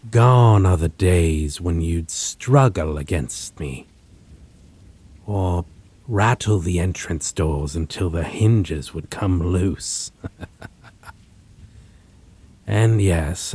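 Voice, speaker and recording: Man as a slow, low, possessive vampire. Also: darkish tone, slightly rough, exaggerated voice. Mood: longing, intense. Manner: formal, theatrical, ornate wording, lingering pauses. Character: possessive male vampire, menacing, wistful, aristocratic. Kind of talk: dramatic audio roleplay monologue to a listener. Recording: faint noise, rain and thunder ambience.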